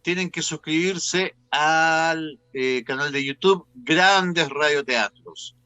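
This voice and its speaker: A Chilean man hosts a casual interview. His voice is bright and sits a little high, captured in a very dry sound. He talks in a chatty, affectionate and informal way. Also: slow pace, smooth, dry recording, lo-fi sound. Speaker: program host.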